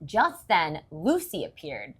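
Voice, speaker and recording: A woman's voice: sits low, wildly swooping pitch, takes it slowly, fairly bright tone, husky. A woman reading a story aloud to young children, expressively, with simple explanations along the way. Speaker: children's story reader and host.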